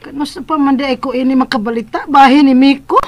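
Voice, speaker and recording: A woman's voice: high-pitched, fairly even intonation, fairly quick, darkish tone, dry recording, close to an everyday voice. An actress with a Visayan Filipino accent playing a worried, reproachful mother in a radio drama. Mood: insistent. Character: worried, scolding mother of a missing young man.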